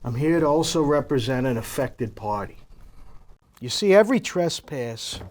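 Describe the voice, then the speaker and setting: A man playing a domineering gangland boss in a live script reading, deliberate, profane and lecturing. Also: medium pitch, expressive, natural voice. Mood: stern, controlled. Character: menacing, domineering male gangland boss, cold and explosive. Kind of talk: live table read of a TV script.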